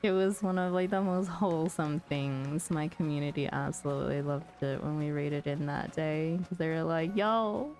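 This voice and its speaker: A woman's voice: sits low, moderate pace, few pauses, a little mellow, soft background music. A woman chatting casually on a live game stream as its host, thinking aloud in a friendly, chatty way.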